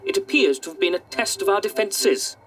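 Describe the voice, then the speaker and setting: A man's voice: high voice, animated, a little fast, fairly bright tone, slight background noise. A man playing a pedantic, senile Welsh robot AI in a comic sci-fi audio drama. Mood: matter-of-fact, disdainful. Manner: pedantic, wordy, over-precise with figures. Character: fussy, senile male robot AI running galactic control centres.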